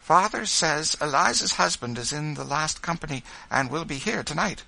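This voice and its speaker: A gentle Quaker mother from a storybook, acted out by a man. The voice is lifted high and tender, with lively rises and old-fashioned turns of phrase. It sounds kindly and glad. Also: a little slow, creaky, heavily acted, rough recording. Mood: eager. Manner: soft, tender, archaic Quaker speech. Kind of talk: audiobook character voice, storybook dialogue.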